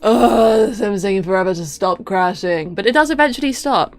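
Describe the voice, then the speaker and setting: A young woman streaming a game, chatting casually and rambling with self-mocking asides and little hummed tunes, her voice deeper than many women's. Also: lively intonation, brisk, a little mellow, no echo, soft background music. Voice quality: fairly deep for a woman. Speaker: livestreamer.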